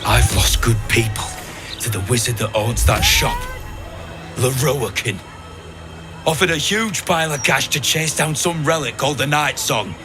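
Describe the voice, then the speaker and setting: An actor playing a bitter, hostile mercenary in a fantasy game, with a curt, confrontational manner. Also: male voice, mid-range voice, a little slow, music in the background, natural voice.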